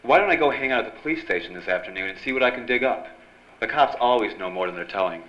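An American man playing a brash, smooth-talking young newspaper reporter in a period radio drama. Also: mid-range voice, animated, fast talker, slight background noise, lightly acted. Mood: eager. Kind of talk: 1940s-style radio drama acting. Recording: dull lo-fi sound, loud music behind.

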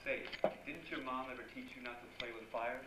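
An actor playing a wisecracking, hardboiled newspaper reporter in a 1940s-style noir radio drama. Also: male voice, medium pitch, steady tone, brisk, natural voice. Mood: alarmed. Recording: dull, faintly noisy stage recording.

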